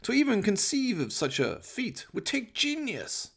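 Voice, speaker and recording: Radio play villain: pompous middle-aged evil doctor, raised pitch, savouring each word theatrically. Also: male voice, wildly swooping pitch, a little slow, vocal fry, fairly bright tone, husky, exaggerated voice. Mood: pompous, musing. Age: 50s. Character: sinister, gloating middle-aged mad scientist doctor.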